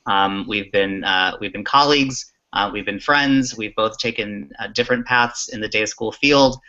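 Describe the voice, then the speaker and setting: Male co-host, middle-aged, forward bright tone, halting dry humour, lo-fi call sound. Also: on the low side. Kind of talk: casual hosting talk. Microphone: video call. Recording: dull lo-fi online call audio.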